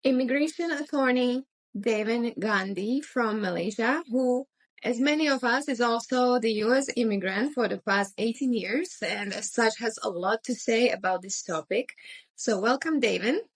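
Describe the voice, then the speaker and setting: Higher-pitched woman hosting a podcast. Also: moderate pace, poor audio. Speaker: podcast host, interviewer. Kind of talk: conversational podcast interview.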